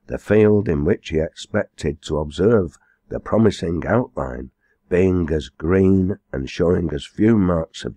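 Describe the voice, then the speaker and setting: A man reading a storybook aloud as scripted narration, in a measured, formal storytelling manner. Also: deep voice, warm tone, no echo. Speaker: audiobook reader.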